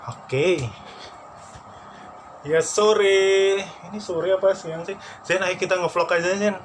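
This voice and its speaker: A man hosting a casual vlog chat with a friend, playful and teasing, quick to laugh. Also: high voice, fairly even intonation. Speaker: vlog host interviewing a friend. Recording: noisy background.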